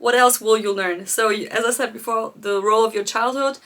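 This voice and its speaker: A woman with a slightly high voice guides her viewers through a live coaching session. She talks gently and reflectively, speaking straight to her audience and laughing softly between her points. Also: steady tone, brisk. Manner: addresses viewers directly, greets them by name. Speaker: life coach hosting a live challenge. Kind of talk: live-streamed coaching talk.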